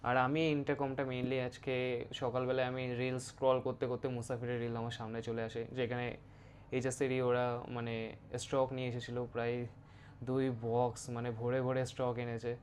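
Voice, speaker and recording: A man's voice: mid-range voice, a little hiss or hum. A man with a West Bengal Bengali accent giving a casual, instructive hands-on review, mixing in English words. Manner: informal, instructive, mixes English words into Bengali, often checks with "okay?". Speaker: motovlogger presenting a product review.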